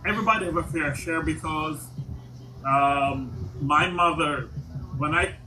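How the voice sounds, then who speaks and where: A man from Jamaica hosting a casual live chat, talking haltingly with many repeats and restarts. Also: fairly high pitch. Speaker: livestream host. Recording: noisy stream audio.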